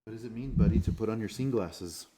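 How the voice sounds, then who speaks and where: A man's voice: lowish voice, quick pace, mellow, a little hiss or hum. A man reading a storybook aloud and chatting with children as their father, with an encouraging, patient manner.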